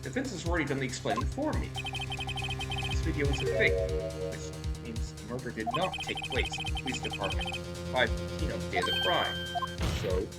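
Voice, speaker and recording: A woman voicing a smug, formal male prosecutor in a courtroom video game, deliberate and condescending. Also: extremely low pitch, very animated, over loud music. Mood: coolly triumphant.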